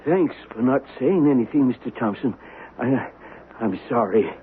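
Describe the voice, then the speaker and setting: An actor playing a nervous, hesitant shopkeeper in a 1970s western radio drama, speaking with an American accent. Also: male voice, mid-range voice, animated, moderate pace, close to an everyday voice. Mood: fearful, pleading. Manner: anxious, hesitant. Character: nervous, pleading western shopkeeper Clem, hired gun. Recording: muffled, hissy vintage radio recording.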